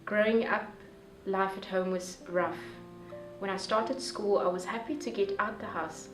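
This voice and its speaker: A young woman reading a first-person story aloud in a careful, measured way. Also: mid-range voice, fairly even intonation. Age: young adult. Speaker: youth reader. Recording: distant echoey room with soft music.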